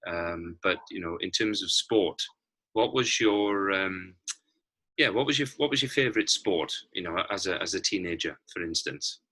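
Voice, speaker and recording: A man's voice: deep voice, normal speed, sharp tone, breathy edge. A man hosting a casual interview, encouraging and wordy, often repeating his phrases.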